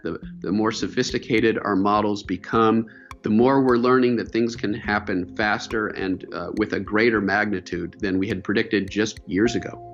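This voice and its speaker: A man, a climate scientist, explaining fluently and emphatically in a documentary interview. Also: on the low side. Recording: dull lo-fi video audio with faint music.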